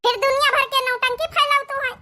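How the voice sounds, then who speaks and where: A woman acts out a cheeky middle daughter-in-law from a village comedy cartoon. Her voice is extremely high and bright, with an Awadhi accent. She talks back in a brisk, bickering, scheming way. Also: little pitch movement, exaggerated voice. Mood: defiant.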